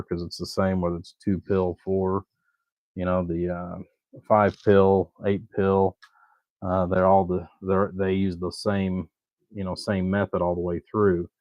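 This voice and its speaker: Low-voiced, monotone man explaining technical details. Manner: halting, rambling, repeats himself. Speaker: electronics technician and channel host. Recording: dull muffled audio, roomy echo.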